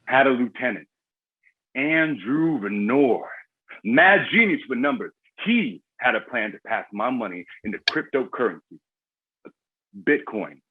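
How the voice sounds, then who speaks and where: An actor playing a cocky, foul-mouthed crime boss with swaggering street talk in a screenplay table read. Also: male voice, mid-range voice, lively intonation, measured pace, slightly rough, narrow, tinny audio. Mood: amused, sly.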